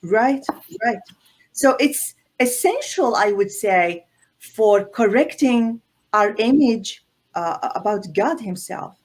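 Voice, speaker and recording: A woman's voice: a little high, expressive, takes it slowly, darkish tone, poor audio. A middle-aged woman from Syria, explaining her reasoning as a guest on a video call, earnest and emphatic, often repeating words as she builds a point. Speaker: guest scholar. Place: indoor room on a video call. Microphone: laptop or webcam mic on a video call.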